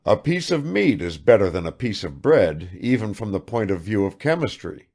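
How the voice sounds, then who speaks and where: A man's voice: fairly low pitch, very animated, normal speed, a little mellow. A man reading aloud and voicing a cool, blunt young man who answers curtly. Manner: cool, curt.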